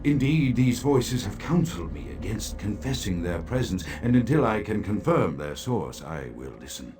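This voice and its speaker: A man's voice: on the low side, very animated, moderate pace, music in the background. An actor playing an erudite, afflicted male vampire scholar, dictating a game audio journal in florid, formal, pompous language. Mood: wary, secretive.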